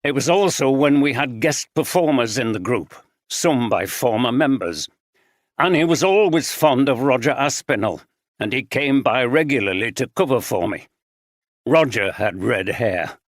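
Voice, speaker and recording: A man's voice: medium pitch, expressive, moderate pace, dry recording, studio quality, natural voice. A man playing a distraught man who is shaken and tearful.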